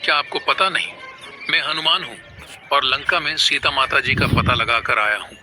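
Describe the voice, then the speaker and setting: A man's voice: medium pitch, normal speed, over music, natural voice. A man voicing an earnest, devoted monkey-god warrior in a cartoon, speaking respectfully with pleading and exclamations. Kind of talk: animated devotional story dialogue.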